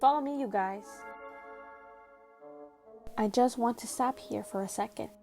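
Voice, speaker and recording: Woman as an earnest, high-voiced teen fairy heroine. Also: unhurried, mellow. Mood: determined. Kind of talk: cartoon fan dub acting. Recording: music behind the voice.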